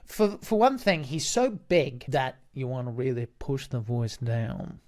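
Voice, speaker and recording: A man's voice: medium pitch, a little slow, darkish tone. Man analysing a voice acting part aloud. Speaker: voice actor and dungeon master. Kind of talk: explanatory commentary on a voice acting audition.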